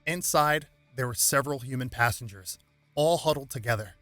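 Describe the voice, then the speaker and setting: Bright-voiced man narrating over music. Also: mid-range voice, normal speed, dry recording. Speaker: narrator reading game lore aloud. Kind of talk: dramatic scripted narration.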